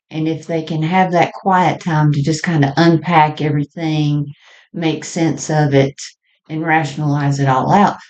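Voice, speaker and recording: A woman speaking in an unscripted, reflective spiritual monologue, halting and trailing off mid-thought. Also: fairly low pitch, darkish tone, dull audio. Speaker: podcast host.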